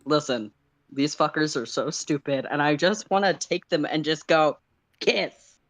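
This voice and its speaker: A woman co-hosting a livestream, reading fan fiction and chatting in an expressive, playful way. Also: low voice, unhurried, dry recording. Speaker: co-host and story reader. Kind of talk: fan fiction narration and casual livestream chat.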